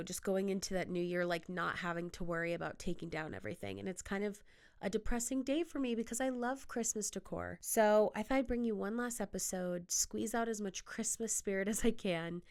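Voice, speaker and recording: A woman's voice: mid-range voice, clean tone. A young woman hosting her podcast, talking casually in a playful, bubbly way, a little nasal and sniffly from a cold. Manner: chatty, playful and bubbly, with quick asides. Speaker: podcast host. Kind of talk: casual solo podcast chat.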